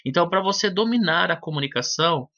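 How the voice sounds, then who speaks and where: A man's voice: high voice, lively intonation, fast, sharp tone. A man teaching a language lesson, explaining step by step in a methodical, didactic way and addressing the viewer directly. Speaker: English teacher. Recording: dull lo-fi video audio.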